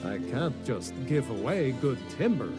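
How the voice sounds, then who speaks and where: A man's voice: medium pitch, big pitch swings, normal speed, over loud music, natural voice. A man voicing a brusque, condescending tradesman in a fantasy video game. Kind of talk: video game character dialogue.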